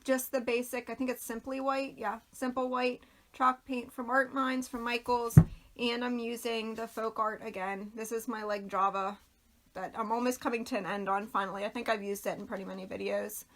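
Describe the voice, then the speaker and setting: A woman's voice: on the high side, little pitch movement, normal speed, a bit bright, poor audio. A woman hosting a casual craft livestream, chatting loosely and greeting viewers by name, her voice a little worn and throaty. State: losing her voice, clearing throat. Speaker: craft video host.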